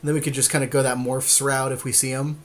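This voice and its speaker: A man's voice: mid-range voice, little pitch movement, fast. A man co-hosting a podcast, chatting casually about a card game draft and thinking aloud in a tentative way. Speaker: podcast co-host.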